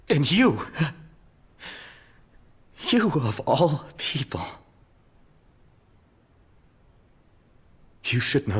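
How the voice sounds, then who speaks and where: An actor playing a resolute young prince in a fantasy game, plainspoken and earnest. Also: male voice, fairly high pitch, fairly bright tone, slightly rough, heavily acted. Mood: indignant, determined. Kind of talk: dramatic video game voice acting. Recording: faint background ambience noise.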